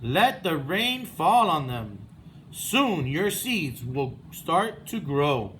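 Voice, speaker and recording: Man reading as a high-voiced, helpful storybook frog. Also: very animated, extremely slow, fairly bright tone, slight background noise, heavily acted. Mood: reassuring. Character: sensible, helpful male frog, storybook friend.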